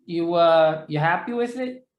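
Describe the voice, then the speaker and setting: A man's voice: on the high side, animated, measured pace, dull audio. Chatty New York-accented male interviewer, roomy sound. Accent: New York area. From New York or New Jersey area. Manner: chatty, rambling, self-deprecating. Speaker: interview host. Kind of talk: casual conversational interview. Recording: echoey room sound.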